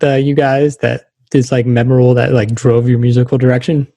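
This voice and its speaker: A man's voice: medium pitch, level tone, brisk, dark tone, dry recording. A man hosting a podcast, asking questions in a casual, chatty interview style.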